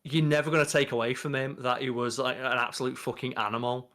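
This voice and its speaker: A man's voice: mid-range voice, steady tone, fast, fairly bright tone. A man in his thirties co-hosting a podcast, chatting casually and opinionatedly with plenty of swearing. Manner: opinionated, sweary, mock-quoting. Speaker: podcast co-host. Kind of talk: casual chat. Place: home, at a desk indoors.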